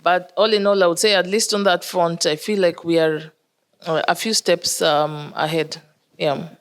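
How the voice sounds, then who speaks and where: A woman's voice: fairly low pitch, little pitch movement. Kenyan woman explaining on a panel. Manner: measured, explanatory, with fillers. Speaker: conference panelist. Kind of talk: panel discussion.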